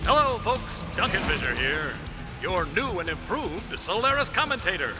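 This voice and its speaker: A man's voice: high voice, sing-song, moderate pace, music in the background. A male actor playing a brash, goofy, self-promoting arena commentator in a video game, with bombastic, cocky showman's patter. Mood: smug, jubilant. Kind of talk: showy sports broadcast patter.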